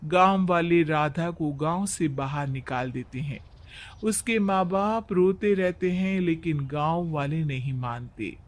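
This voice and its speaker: A high-voiced man tells a scripted moral tale as narrator. He takes it slowly, setting out each part in turn. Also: slight background noise.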